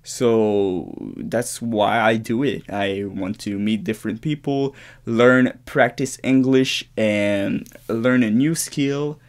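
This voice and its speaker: A man's voice: on the low side, steady tone, slow pace. Early twenties quebec guy, french accent, just talking into his camera in his bedroom, loose and swearing a lot. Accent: Québécois French. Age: 20s. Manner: rambling, casual, swears often, frequent restarts. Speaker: podcast host. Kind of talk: unscripted solo podcast monologue. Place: his bedroom in a shared apartment.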